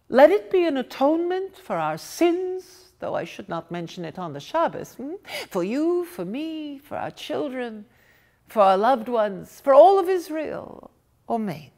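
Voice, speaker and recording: Woman acting a devout mother, tender, pleading, emotional, slow storybook delivery, expressive pitch. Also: full character voice. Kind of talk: theatrical storybook reading.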